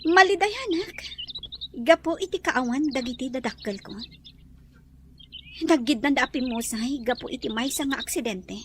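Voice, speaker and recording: A woman's voice: high-pitched, normal speed, pauses often, a bit bright. A woman playing a young, sorrowful, grateful orphan in an Ilocano audio drama, over a noisy track with bird chirps.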